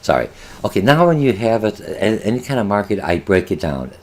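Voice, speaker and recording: Older male instructor, Polish native, deep low voice, rambling lecture with many fillers, webcam in a home office. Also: sing-song, fairly quick. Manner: rambling, many filler sounds and restarts, rhetorical questions. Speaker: college marketing instructor. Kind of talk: online lecture over slides.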